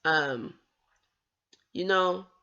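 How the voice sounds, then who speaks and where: Woman vlogging slow and earnest, gravelly bright voice, stumbles and restarts now and then, kinda muffled lo-fi sound. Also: lowish voice. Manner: earnest, encouraging, with occasional stammered restarts. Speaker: vlogger who stutters. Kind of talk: personal talk to camera.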